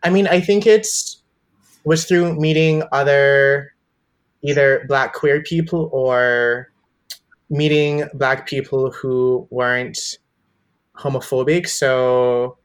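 A man's voice: mid-range voice, fairly even intonation, slow, smooth. A young man from Jamaica, interviewed about his life, telling his story in a reflective, hesitant way with many fillers and restarts.

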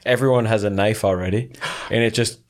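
A man's voice: on the low side, fairly even intonation, a little fast. A man co-hosting a podcast with casual, slangy, joking banter. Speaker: podcast co-host.

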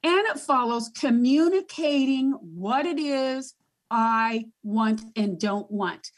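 Casting: woman with a big booming voice, pitched a bit high, emphatic panel guest. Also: lively intonation, slow pace. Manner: emphatic, repeats herself while thinking. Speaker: guest advocate. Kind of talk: panel discussion.

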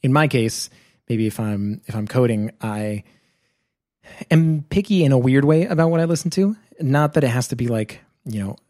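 Podcast host guy thinking out loud, mellow dark voice, dry mic. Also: mid-range voice, lively intonation, moderate pace, no echo. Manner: thoughtful, works through questions aloud. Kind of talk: casual chat.

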